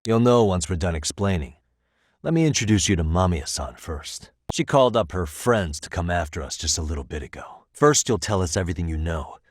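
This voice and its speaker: A man's voice: low voice, animated, a little fast, no echo, clean studio sound. An American man voicing a composed, probing detective in a video game, tough and wry, with controlled, pointed delivery. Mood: composed, decisive, then probing, firm. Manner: controlled, pointed, dryly sardonic questioning. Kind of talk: video game voice acting, dramatic interrogation dialogue.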